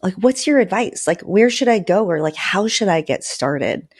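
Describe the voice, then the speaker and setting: A woman's voice: mid-range voice, expressive, normal speed, breathy edge. A woman hosting a podcast and interviewing a guest in a conversational style.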